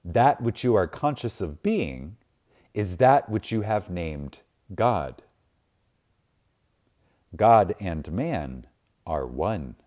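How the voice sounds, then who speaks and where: A man reading a spiritual book aloud in a solemn, reverent manner, with deliberate pauses and emphasis. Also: low-pitched, big pitch swings, a little mellow. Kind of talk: reverent scripted reading, audiobook-like.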